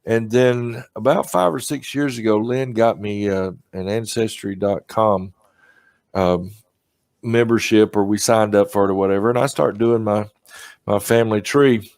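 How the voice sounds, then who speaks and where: A man's voice: fairly low pitch, measured pace, a little mellow, no echo. Middle-aged male host, casual and chatty, laughs a lot, dry close mic. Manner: chatty, laughs often at his own stories. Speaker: podcast host. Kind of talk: casual chat.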